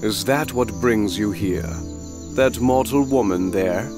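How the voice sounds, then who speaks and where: A man's voice: lowish voice, slow pace, over music. A man playing an amused, worldly god who savours his words, in a fantasy audio drama. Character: amused, worldly male god watching mortals.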